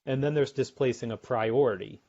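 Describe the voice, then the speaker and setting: A middle-aged American man, a sales author and podcast guest, explaining his ideas in a measured way and often repeating words as he thinks. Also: medium pitch, darkish tone. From United States. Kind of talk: podcast interview. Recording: dull lo-fi remote audio.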